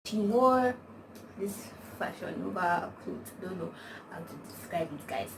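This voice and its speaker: A woman's voice: on the low side, measured pace. A young woman with a Nigerian accent, chatting to camera and rambling through stories with lots of "like" and slang. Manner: rambling storytelling, constant "like", slangy. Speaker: vlogger. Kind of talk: casual chat to camera. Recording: distant mic, noisy background.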